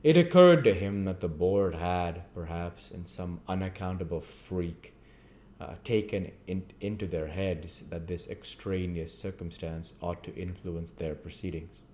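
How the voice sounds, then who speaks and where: Deep, mellow male story narrator. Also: a little slow. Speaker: audiobook reader.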